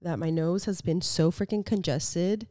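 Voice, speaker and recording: A woman's voice: fairly low pitch, a little slow, dark tone, no echo, clean studio sound. A young woman hosting her own podcast, sounding congested and nasal from allergies, talking openly and from the heart with many casual fillers. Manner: open, heartfelt, frequent "like" fillers. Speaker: podcast host. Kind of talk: casual solo podcast talk. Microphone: studio podcast mic.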